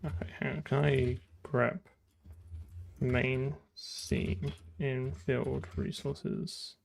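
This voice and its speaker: A man's voice: mid-range voice, flat delivery, drawn-out words, gravelly, slight background noise. A man thinking aloud as he codes, muttering to himself in fragments over keyboard typing and clicking. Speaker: programmer streamer. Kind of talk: live coding commentary, thinking aloud.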